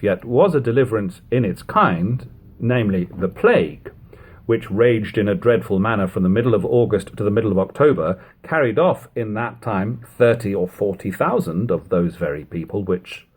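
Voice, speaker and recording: A man reading classic literature aloud as an audiobook narrator, in a measured, formal manner with long phrases. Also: on the low side, expressive, a little mellow, husky. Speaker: audiobook reader.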